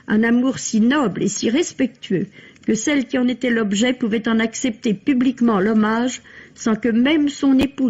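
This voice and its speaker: A woman delivers a formal, scripted book review for radio. Her voice is fairly high and husky, and the recording is dull and lo-fi, like an old broadcast. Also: a little mellow. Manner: literary, formal phrasing.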